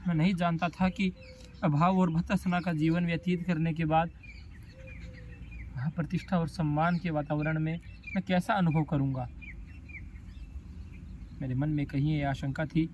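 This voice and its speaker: Male actor as tormented, self-doubting poet in a stage drama; high voice, solemn and emotional, pausing heavily; light birdsong noise. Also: fairly even intonation, a little mellow, dull audio, lightly acted. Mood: reflective regret. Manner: solemn, halting, emotional delivery with dramatic pauses. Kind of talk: theatrical stage monologue in formal literary Hindi.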